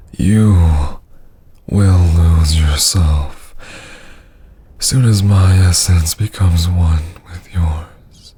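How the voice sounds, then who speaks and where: A man voicing a domineering, seductive vampire lord in an intimate ASMR roleplay, with a husky, often half-whispered voice and a teasing, condescending manner. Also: sits low, warm tone, heavily acted. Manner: slow, teasing, condescending drawl.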